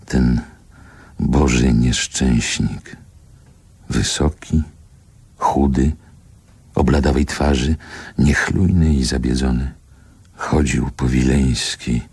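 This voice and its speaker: An actor playing a man who wistfully and wryly recalls his childhood in a literary radio play, deliberate and pausing between short phrases. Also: extremely low pitch, gravelly, a little mellow, slightly rough, no echo, heavily acted. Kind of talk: literary radio drama monologue, lyrical reminiscence.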